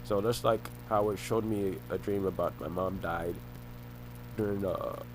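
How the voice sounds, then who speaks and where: A young man rambles on in a halting way, circling back and restarting his sentences as he talks. His voice sits on the low side. A faint hum runs under the recording. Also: fairly even intonation. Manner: halting, repeats and restarts phrases. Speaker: vlogger. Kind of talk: rambling personal monologue.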